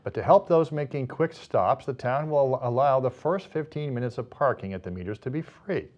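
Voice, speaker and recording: A man's voice: medium pitch, animated, brisk, a little mellow, dull audio. An American man presenting scripted local news as the anchor.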